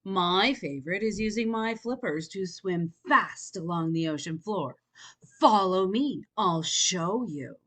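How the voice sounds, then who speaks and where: A friendly, contented sea turtle from a storybook , read by a woman. It speaks encouragingly and takes its time. The pitch rises and falls in a lively way. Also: unhurried. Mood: happy, inviting.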